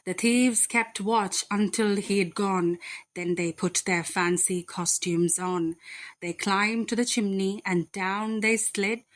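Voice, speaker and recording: A woman reading a rhyming children's bedtime story aloud in a deliberate, expressive, dramatic way. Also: mid-range voice.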